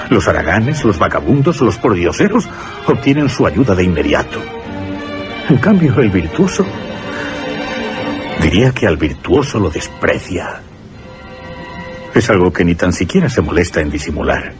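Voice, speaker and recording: Deep-voiced, swooping, earnest gentleman. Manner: dramatic, emphatic, formal. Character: earnest, troubled young gentleman, righteous and indignant. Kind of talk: Spanish radio horror drama acting.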